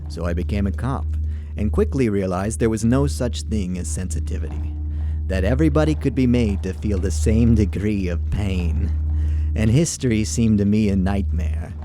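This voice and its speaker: A man voicing a jaded, cynical male police officer who talks with wry, dark sarcasm. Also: fairly low pitch, normal speed, faint music behind, lightly acted. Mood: bitter, sardonic. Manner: wry, darkly sarcastic storytelling.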